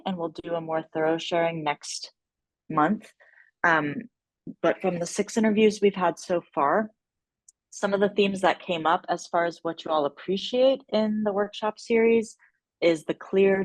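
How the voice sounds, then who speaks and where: Woman presenting, lower-pitched voice, dry sound. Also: no echo, rough recording. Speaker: workshop presenter on an evaluation team. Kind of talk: informal workshop presentation reporting feedback.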